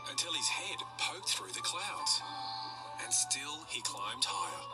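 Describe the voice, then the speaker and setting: A man narrates a children's storybook with animated, widely varied intonation. His scripted reading plays over clearly audible music. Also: a little high, poor audio.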